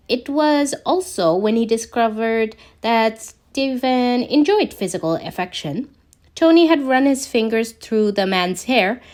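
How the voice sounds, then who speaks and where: A woman reading a scripted story aloud as a narrator, in an expressive storytelling way. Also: on the high side. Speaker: podfic narrator reading fan fiction.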